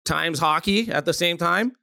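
A man's voice: fairly high pitch, normal speed, creaky, sharp tone, no echo, studio quality. A man hosting a sports interview, asking loose, rambling questions full of restarts. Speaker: podcast host.

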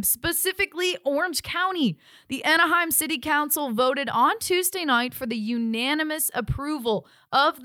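A woman's voice: high voice, pushed and bright, no echo, studio quality. A young adult woman hosting a sports podcast with polished presenting and interviewing.

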